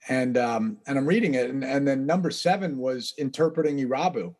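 A man as a podcast guest, telling long stories in a relaxed, rambling way with frequent laughs. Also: mid-range voice, brisk. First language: English. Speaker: guest, baseball interpreter. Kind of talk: casual storytelling interview.

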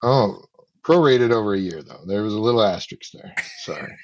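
A man's voice: fairly low pitch, expressive, a little fast, no echo, muffled recording. A man co-hosting a casual news chat, cracking dry jokes. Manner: dry wisecracks. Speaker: co-host.

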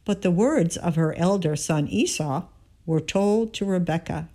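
A woman reading scripture aloud in a measured, deliberate and solemn style. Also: lowish voice, dark tone. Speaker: scripture reader.

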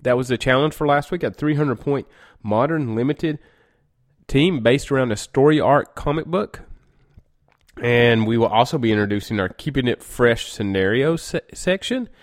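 A man's voice: medium pitch, normal speed. A man with a cold and a stuffy nose, hosting a gaming podcast in a chatty, rambling way and often correcting himself. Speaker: podcast host. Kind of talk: casual gaming podcast monologue.

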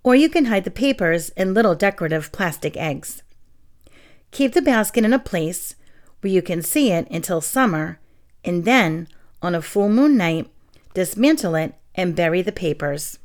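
A young woman with a slightly lowered voice talks in a friendly, chatty way, mixing in fillers and soft laughs. She is getting over a sore throat, so her voice sounds a touch strained. She is from New England. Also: lively intonation, normal speed. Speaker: podcast host. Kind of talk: casual solo podcast talk and reading.

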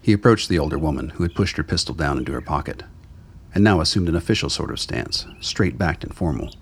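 A man with a gravelly, husky voice narrating a scripted radio drama. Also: very deep voice, expressive, fast.

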